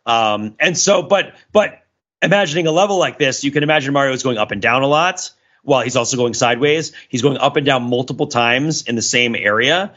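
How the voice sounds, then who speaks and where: A man's voice: medium pitch, a little fast, fairly bright tone, lo-fi sound. A man co-hosting a podcast, explaining things in a casual, discursive way and often checking in as he goes. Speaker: podcast co-host.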